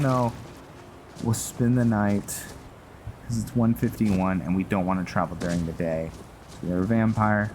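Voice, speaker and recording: A man giving casual gameplay commentary, thinking aloud in a rambling, halting way. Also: lowish voice. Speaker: gameplay video host and role-player. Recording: clear background noise, game ambience. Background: noise and video game ambience.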